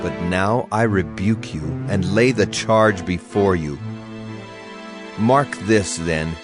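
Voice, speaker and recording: Slow, deep-voiced man reading scripture. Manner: solemn, declamatory. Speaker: scripture narrator. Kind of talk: dramatized scripted reading of psalms. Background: music.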